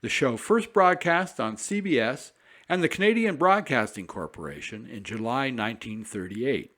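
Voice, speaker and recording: A man reading a scripted introduction in a lively, formal way. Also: medium pitch, normal speed. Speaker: program presenter.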